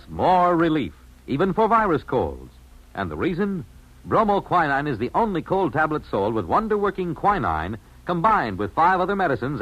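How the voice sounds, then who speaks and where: A man's voice: mid-range voice, fairly bright tone, slightly rough, low-quality recording. A man announcing on vintage radio, reading a commercial in an emphatic 1950s sales style.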